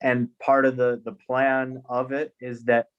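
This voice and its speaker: A podcast host moderating a discussion over a video call, brisk and casual. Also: mid-range voice, level tone, clean tone, muffled recording.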